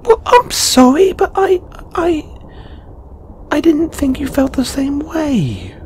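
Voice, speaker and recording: A man playing a shy, anxious, lovelorn young lamia flatmate in an intimate audio roleplay, speaking hesitantly with stammers and sighs. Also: fairly low pitch, takes it slowly, slight background noise. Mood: startled, flustered.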